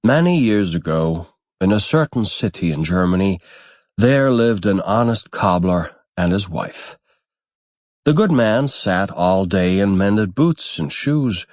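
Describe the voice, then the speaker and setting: A man narrating a fairy tale audiobook in a measured, expressive storytelling manner. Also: lowish voice, dry recording, clean studio sound.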